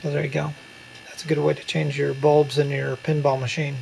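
Gravelly man giving casual how-to tips. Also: on the high side, brisk, rough recording. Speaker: repair video host. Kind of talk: casual hands-on how-to narration. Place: room at home. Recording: steady hum and room noise.

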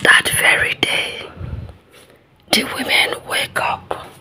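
A woman with an African accent telling a folktale in a whispered ASMR style, with deliberate, drawn-out phrasing. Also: bright, forceful tone. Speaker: storyteller.

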